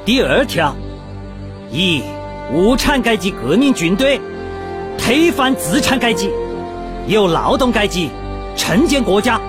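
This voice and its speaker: An actor playing an earnest male revolutionary delegate in a historical radio drama, speaking in a measured, declamatory way. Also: sits high, sing-song, music in the background, full character voice. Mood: solemn, declamatory.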